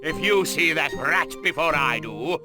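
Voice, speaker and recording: An actor playing a stern, vengeful military commander who gives formal mission briefings in a medieval game. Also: male voice, on the high side, animated, a little slow, over music. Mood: stern, urgent.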